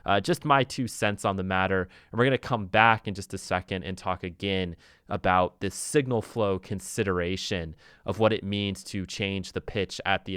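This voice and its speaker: Male tutor, low-pitched, very dry close recording, casual demo style, informal and thinking out loud. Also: smooth, no echo. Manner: informal, thinking aloud while demonstrating. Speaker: music production tutor. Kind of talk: casual software tutorial talk.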